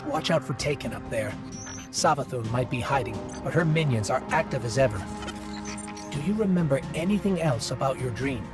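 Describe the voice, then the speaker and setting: An actor voicing a small, watchful robot companion in a video game, earnest and inquisitive. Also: male voice, medium pitch, animated, normal speed, over music, natural voice. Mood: alert, curious. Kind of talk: dramatic video game dialogue.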